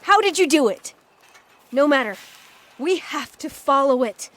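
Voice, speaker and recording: An actress playing a devout, proud and troubled priestess in a video game cutscene, speaking solemnly and with command. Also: female voice, sits high, animated, unhurried, a bit bright. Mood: stern.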